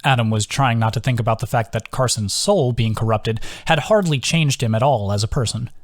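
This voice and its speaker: A man's voice: mid-range voice, brisk, no room sound, clean studio sound. A man reading scripted fiction as an audiobook, narrating and voicing the dialogue. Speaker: author reading own audiobook.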